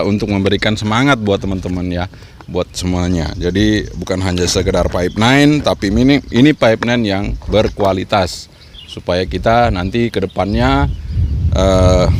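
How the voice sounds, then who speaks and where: A man's voice: sits low, a little hiss or hum. A man giving an informal instructional vlog talk, encouraging and repeating his points as he explains. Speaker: amateur radio vlogger and trainer.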